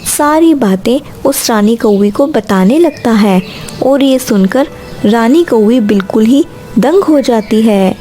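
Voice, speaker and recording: A woman's voice: fairly high pitch, lively intonation, slow pace, dark tone, faint music behind. A woman narrating a scripted children's cartoon story.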